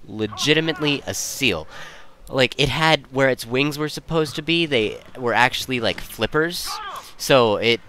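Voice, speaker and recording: A man's voice: medium pitch, lively intonation, unhurried, sharp tone, slight background noise. A young man giving rambling, casual commentary in an easygoing, self-deprecating, digressive way. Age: young adult. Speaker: video creator and commentator.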